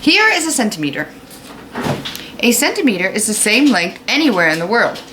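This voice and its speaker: A woman's voice: on the high side, sing-song, brisk, noisy background. An American woman teaching children in a read-aloud lesson, explaining informally and putting questions to her young listeners. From United States. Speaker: fifth-grade teacher.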